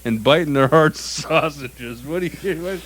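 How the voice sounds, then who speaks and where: A man co-hosting a comedy news podcast, reacting to stories with quick quips and frequent laughter, often stumbling over his own sentences. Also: a little high, a bit bright. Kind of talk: casual comedic banter.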